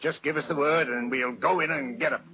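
An actor playing a dutiful, eager police inspector in 1940s radio style. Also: male voice, a little high, fairly even intonation, fairly quick, close to an everyday voice. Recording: muffled, echoey 1940s radio recording.

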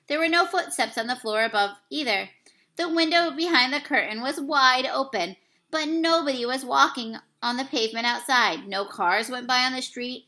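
A young woman, a teacher, reading a children's book aloud at home into a webcam, building suspense and pausing to correct slips. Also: a little high. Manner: expressive storytelling, swells and hushes for suspense; apologizes for slips and losing her place. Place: small room at home, plain wall behind. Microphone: webcam at desk distance.

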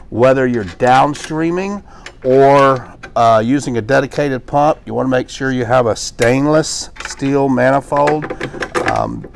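A man's voice: mid-range voice, vocal fry, a little hiss or hum. A middle-aged man teaching a hands-on equipment demonstration in a small workshop, talking casually with fillers and restarts as he works. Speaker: pressure washing instructor. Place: small workshop with tool shelves, indoors.

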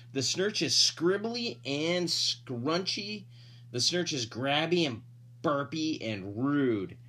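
A man's voice: fairly high pitch, animated, very slow, sharp tone. A dad reading a picture book aloud expressively, drawing out emphasized words and adding playful, casual asides to his listeners. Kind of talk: animated storybook read-aloud with casual asides.

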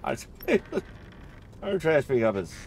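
A man giving casual, chatty gameplay commentary, thinking aloud and getting excitable. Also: medium pitch, drawn-out words, creaky, slight background noise. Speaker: gamer recording a play-through.

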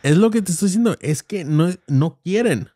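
A man's voice: high-pitched, animated, moderate pace, warm tone, dry recording, studio quality. A man in his thirties with a Mexican Spanish accent, co-hosting a podcast and arguing his point insistently with lots of slang. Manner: insistent, argumentative, heavy slang. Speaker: podcast co-host. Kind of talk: heated casual debate. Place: small studio with foam panels. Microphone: studio mic on boom arm, headphones.

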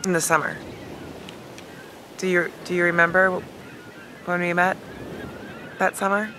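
A woman in her thirties giving a dramatic, mock-emotional reading of song lyrics, with halting, deliberate pauses, recorded outdoors on a handheld camera. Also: lowish voice, steady tone, background noise. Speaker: comedic video host. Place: lying outdoors in a hammock. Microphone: camera held at arm's length.

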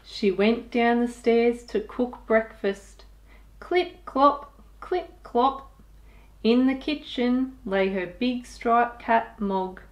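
Casting: female storytime reader, 30s, highish voice, extremely unhurried delivery, expressive with young listeners. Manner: expressive, deliberate, with sound effects and asides to listeners. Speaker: children's storytime host. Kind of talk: picture-book read-aloud for young children.

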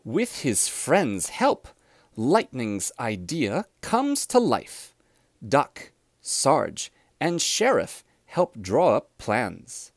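A man reads a children's storybook aloud with deliberate, expressive phrasing. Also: mid-range voice, dry recording, studio quality. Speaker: storybook reader.

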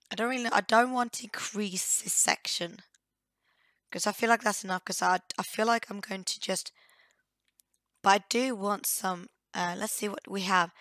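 Hoarse woman with a bright voice. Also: mid-range voice, no echo. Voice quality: croaky from a cold. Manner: hesitant, thinking aloud. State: hoarse with a cold. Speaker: gaming video host. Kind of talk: casual let's play commentary.

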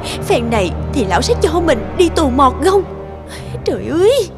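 A woman's voice: sits high, very animated, moderate pace, music in the background. An actress playing an anxious, guilt-ridden young mother in a cartoon, speaking in a fretful, hesitant, emotional way. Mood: panicked. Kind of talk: animated cartoon dubbing.

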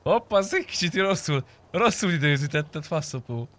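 A man's voice: fairly high pitch, lively intonation, a bit bright. A young man streaming a roleplay game, chatting casually with crude, teasing banter and plenty of swearing. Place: indoor room, streaming setup.

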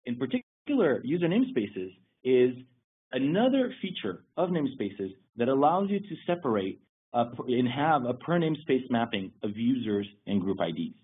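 A man's voice: medium pitch, very animated, normal speed. A man presenting a technical webinar, explaining things steadily with occasional restarts. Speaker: security lead presenting a webinar. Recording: husky voice over a tinny phone-like line.

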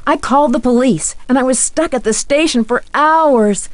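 A woman's voice: on the high side, darkish tone, no echo. A woman playing a polite, determined young female detective in a video game, earnest and inquisitive. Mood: eager, confiding.

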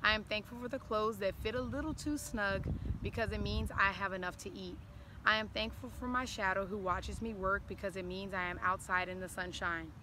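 A woman's voice: mid-range voice, steady tone, brisk. A middle-aged woman giving an informal address and reading aloud, recorded outdoors. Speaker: former school counselor, guest. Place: outdoors on an open lawn. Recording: clearly noisy outdoor recording.

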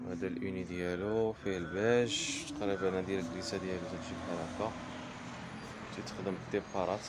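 A man's voice: deep voice, fairly even intonation, quick pace, heavy background noise. A man with a Moroccan accent casually showing goods as a seller, listing items with French trade words. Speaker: fabric and salon seller.

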